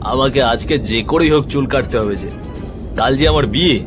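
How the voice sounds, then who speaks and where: A man's voice: mid-range voice, normal speed, music in the background, lightly acted. A man playing an impatient, fretful young village bridegroom in a cartoon, pleading and complaining. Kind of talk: cartoon drama acting.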